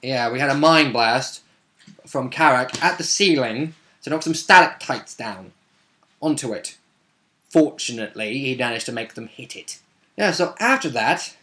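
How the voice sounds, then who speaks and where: A man's voice: mid-range voice, fairly bright tone. Man, casual rambling storyteller. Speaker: tabletop game player recapping a session.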